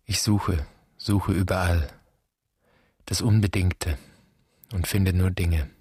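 An actor playing a wounded, searching son who addresses an absent father in measured, poetic radio drama lines. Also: deep voice, mellow, dry recording, clean studio sound, exaggerated voice. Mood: wounded, solemn. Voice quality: husky. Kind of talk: literary radio drama monologue.

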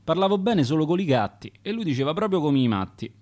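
A man with a Roman accent voicing a sharp-tongued woman who grumbles about her husband, in dialect verse for a radio play. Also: fairly high pitch, sing-song, fast. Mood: grumbling.

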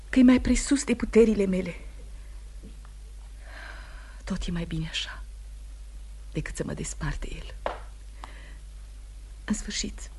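An actress playing a wounded, anxious young wife in a radio play, breathy and often hushed, earnest and halting. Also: female voice, medium pitch. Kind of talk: Romanian radio theatre acting.

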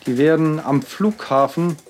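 A man, an artist, telling a rambling personal account in halting speech with frequent fillers and restarts. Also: on the high side, darkish tone.

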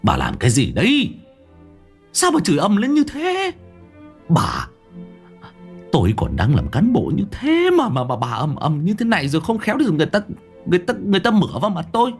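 A man voicing a gruff older village chief who grumbles and frets at his wife and others. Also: on the high side, wildly swooping pitch, fairly quick, pauses often, soft background music. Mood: flustered. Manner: grumbling, flustered.

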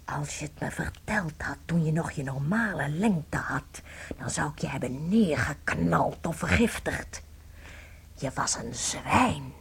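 A woman playing a devoted wife in a radio play, dramatic and intimate, swinging between tenderness and cold bitterness in a husky voice. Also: low voice, expressive, unhurried, heavily acted. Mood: cold, hurt.

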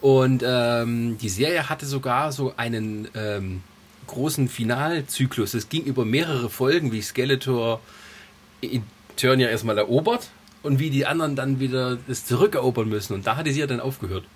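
A man with a mid-pitched voice talks casually as a podcast co-host. He reminisces loosely, restarting and correcting himself along the way. Some background noise sits behind his voice. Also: normal speed. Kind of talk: casual nostalgic podcast chat.

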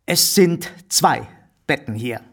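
A man's voice: mid-range voice, animated, slow pace, gravelly, slightly rough, full character voice. An actor playing a self-satisfied, well-off mayor in a radio drama, matter-of-fact as he shows off. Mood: smug, matter-of-fact. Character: smug, well-off bourgeois mayor showing off his love nest.

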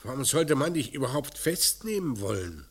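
An actor playing a gruff, commanding police inspector in a radio crime drama, curt and sardonic while needling a suspect. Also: male voice, medium pitch, very animated, moderate pace. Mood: sardonic, probing. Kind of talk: German radio play acting.

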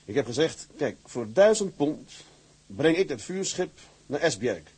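An actor playing a resolute, burdened sea captain in a radio drama. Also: male voice, fairly high pitch, sing-song, unhurried, darkish tone, breathy edge, heavily acted. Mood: scheming, confident. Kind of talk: radio drama acting with letter-reading monologues.

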